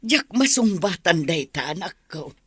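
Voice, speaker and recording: A woman's voice: lowish voice, sing-song, measured pace, a bit bright, husky, no echo, clean studio sound, heavily acted. An actress with an Ilocano accent playing a caring, pitying older mother in a radio drama. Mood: consoling. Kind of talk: Philippine radio drama acting.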